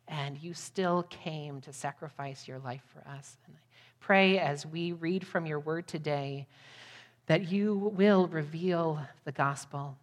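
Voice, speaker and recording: Low-voiced American woman preaching in a hall. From United States, Midwest. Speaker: missionary guest preacher. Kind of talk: sermon to a congregation. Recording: long hall reverb.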